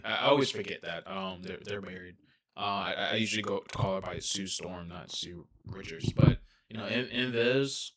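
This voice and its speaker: A soft-spoken young man rambling casually through a reaction video, full of fillers and restarts. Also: lowish voice.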